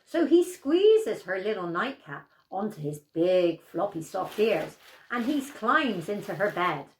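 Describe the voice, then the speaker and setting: Animated older woman telling a story. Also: mid-range voice, reverberant, rough recording.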